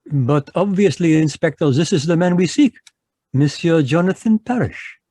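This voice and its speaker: Precise Belgian detective, French accent, rather high voice, dry sound. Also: male voice, animated, normal speed, warm tone, dry recording. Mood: polite, shrewd. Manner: precise, polite, sprinkles French words. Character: fussy Belgian detective, middle-aged man. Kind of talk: radio drama read live over video call. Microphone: home microphone on a video call.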